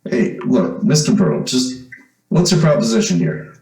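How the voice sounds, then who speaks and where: A man's voice: mid-range voice, expressive, normal speed, mellow, lightly acted. A man acting a wary, streetwise seventeen-year-old diner counterman in an old-time radio drama, with folksy, slangy talk. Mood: guarded, agreeable. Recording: roomy echo, music underneath.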